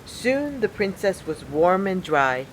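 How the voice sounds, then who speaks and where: A woman reading a children's picture book aloud as a storyteller, in an expressive, deliberate way. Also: lowish voice, a little hiss or hum, rough recording. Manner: deliberate, expressive storybook delivery with acted sound words.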